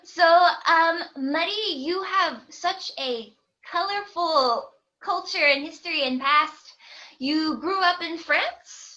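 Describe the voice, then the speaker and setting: A woman's voice: extremely high pitch, takes it slowly, sharp tone, highs cut off. A woman hosting a casual podcast lesson over a video call, bubbly and laughing often. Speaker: host and English teacher.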